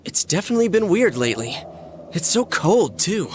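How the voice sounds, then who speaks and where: A man playing an easygoing teenage high school boy in an English anime game, with a loose, colloquial delivery. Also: very animated, moderate pace, a little hiss or hum. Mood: mildly bothered. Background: music.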